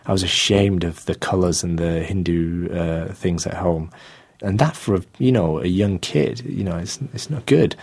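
A man in his thirties with a Manchester accent talks through his thoughts in a low voice, pausing and restarting often. The sound is dry and close, with no room around it. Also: gravelly, darkish tone, slightly rough, dry recording. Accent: Manchester English. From England. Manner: hesitant, with many fillers and restarts, laughing often. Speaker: guest artist. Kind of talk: casual interview chat.

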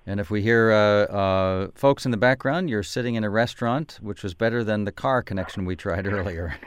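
American radio host, dry, clear and hesitant. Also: male voice, on the low side, a little fast, no echo. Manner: hesitant, many fillers, self-correcting. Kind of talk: radio interview.

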